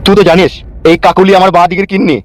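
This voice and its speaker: A man's voice: high voice, little pitch movement, very fast, close to an everyday voice, rough recording. A man voicing a doting, touchy young newlywed husband in a comedy cartoon. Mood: offended.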